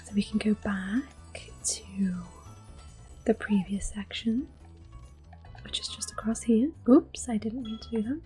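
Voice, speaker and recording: A woman giving gentle, hushed, unhurried ASMR commentary on a video game, soft-spoken and often whispering. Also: medium pitch. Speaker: gameplay video host. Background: game music.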